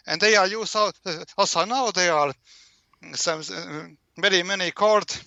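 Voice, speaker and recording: High-voiced, stammering Latvian man. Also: vocal fry, bright, forceful tone, dry recording. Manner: nervous, stammering, repeats words. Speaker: interview guest. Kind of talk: live interview answers. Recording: slightly dull, lo-fi connection.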